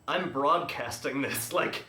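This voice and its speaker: A male podcast host chatting casually, explaining things in a rambling, halting way with frequent self-interruptions. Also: medium pitch, pushed and bright. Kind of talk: casual chat. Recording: roomy, echoey room.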